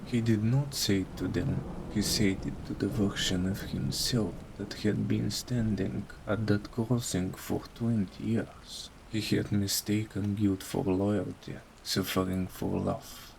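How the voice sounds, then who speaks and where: A man narrating a scripted horror story in a solemn, dramatic way with deliberate phrasing. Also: lowish voice, steady tone, creaky, darkish tone, a little hiss or hum, rough recording. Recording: faint rain behind voice.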